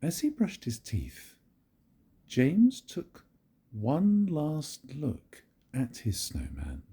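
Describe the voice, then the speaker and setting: An older man reads a children's story slowly and gently, his pitch swooping widely as he acts it out. His voice is dark, mellow and breathy. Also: wildly swooping pitch. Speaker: grandfather reading to grandchildren. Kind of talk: reading a picture book aloud.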